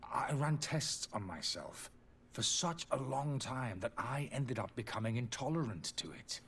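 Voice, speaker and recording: An actor playing a disgraced, indignant alchemist in a fantasy video game, pleading and justifying himself theatrically in a slightly husky voice. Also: male voice, medium pitch, expressive, unhurried, a bit bright. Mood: defensive, agitated. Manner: agitated, defensive, theatrical delivery.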